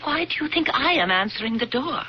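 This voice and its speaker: An actress in a 1970s radio drama playing a grim, determined woman avenging her family, deliberate and bitterly sardonic in formal wording. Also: sits high, lively intonation, a crowd in the background. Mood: tense, impatient. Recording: dull, noisy old radio broadcast.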